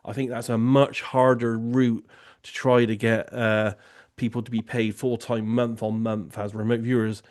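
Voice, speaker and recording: A man's voice: mid-range voice, flat delivery, measured pace, a little mellow. A man hosting an online question-and-answer session, talking loosely with many "you know" fillers. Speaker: remote viewing tasker and session host. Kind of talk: casual online Q&A discussion.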